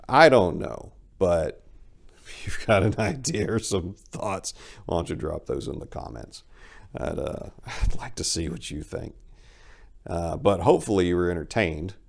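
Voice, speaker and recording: Deep, creaky-voiced male storyteller. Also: darkish tone. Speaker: storyteller and show host. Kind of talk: dramatic story reading with casual commentary.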